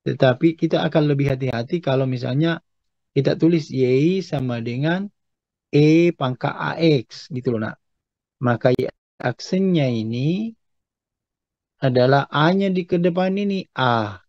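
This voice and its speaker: A man's voice: fairly high pitch, a little slow, long pauses, darkish tone. A man giving a step-by-step maths lesson as a lecturer, patiently explanatory and often checking that students follow. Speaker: mathematics lecturer. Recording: echoey room, dull muffled sound.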